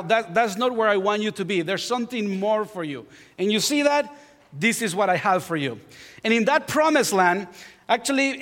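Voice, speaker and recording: Honduran pastor preaching in a reverberant hall. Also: male voice, sits high. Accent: Honduran Spanish. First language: Spanish. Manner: warm self-deprecating humor, rising to fervent preaching. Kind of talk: sermon. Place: church sanctuary.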